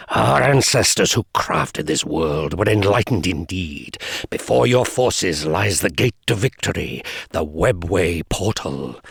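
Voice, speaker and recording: A man's voice: deep voice, vocal fry, echoey room, heavily acted. An actor playing a solemn ancient male alien seer who gives battle briefings in a grave, portentous voice. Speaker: mission briefing narrator. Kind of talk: solemn game cutscene narration.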